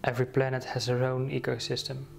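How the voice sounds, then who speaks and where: A man leading a guided meditation, speaking slowly and soothingly with many pauses and restarts. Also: medium pitch, monotone, vocal fry, soft background music.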